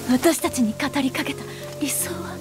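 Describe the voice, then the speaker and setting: A woman's voice: high-pitched, moderate pace, loud music behind the voice, close to an everyday voice. An actress playing an earnest, betrayed young female warrior in a video game, impassioned and emotionally raw. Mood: disbelieving, pleading. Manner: impassioned, polite speech breaking into raw outbursts. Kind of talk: Japanese video game voice acting.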